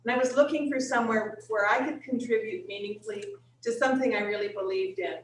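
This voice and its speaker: A woman's voice: a little high, fast talker, slight background noise. Earnest older woman in an echoey room. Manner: earnest, hesitant with fillers. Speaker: retiree, board candidate. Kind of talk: candidate speech at a meeting. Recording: echoey room, far from recorder.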